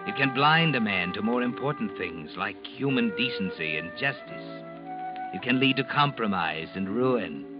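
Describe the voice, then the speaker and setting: An actor playing a sinister, all-knowing narrator in a theatrical 1940s radio mystery style. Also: male voice, lowish voice, natural voice. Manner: ominous, theatrical. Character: the Whistler, sinister all-knowing male narrator of dark tales. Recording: dull old radio recording.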